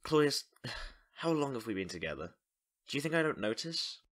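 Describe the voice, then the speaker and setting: Male actor as easygoing young buddy: mid-pitched, lively intonation, casual, unhurried. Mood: concerned. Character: easygoing, loyal, sleepy young guy. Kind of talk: naturalistic audio drama dialogue.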